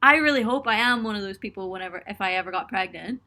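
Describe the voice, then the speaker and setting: Young woman rambling on a webcam stream from her little home studio, bright voice, giggles a lot, lots of ums. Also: mid-range voice, fast talker. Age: young adult. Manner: chatty and giggly, self-mocking, frequent ums and laughs. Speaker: musician hosting a livestream for supporters. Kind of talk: casual live chat answering viewer questions. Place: small indoor home studio room.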